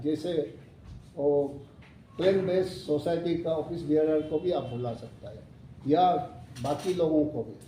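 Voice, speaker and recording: Slow, mellow-voiced man in his seventies. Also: fairly high pitch. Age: 70s. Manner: halting and unhurried, with pauses and self-corrections. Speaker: chairman addressing a committee meeting. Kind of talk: formal address to a meeting. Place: hotel meeting hall. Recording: echoey hall, mic far off, faint room noise.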